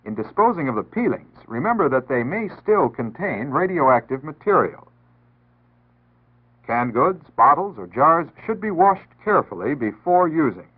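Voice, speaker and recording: A man's voice: fairly high pitch, big pitch swings, slightly rough. Tinny 1960s American male announcer. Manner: earnest, instructive, measured formal delivery. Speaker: public service announcer. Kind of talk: 1960s scripted civil defense announcement narration. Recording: tinny band-limited 1960s radio-style archive audio.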